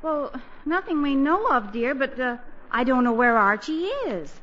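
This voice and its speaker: A woman's voice: high voice, very animated, a little slow. A woman playing an anxious, fussing middle-aged wife and mother in 1940s radio comedy style, flustered but insistent. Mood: polite, flustered. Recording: noisy, dull 1940s radio broadcast.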